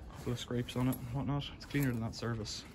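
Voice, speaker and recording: A man from the UK rambles casually as he films on a handheld phone out in a back alley. His voice sits at an ordinary middle pitch. Loud outdoor noise and passing traffic run under everything he says. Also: steady tone, fast talker. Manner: rambling, chatty, matter-of-fact. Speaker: vlogger. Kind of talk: casual walk-and-talk commentary. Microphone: handheld phone camera.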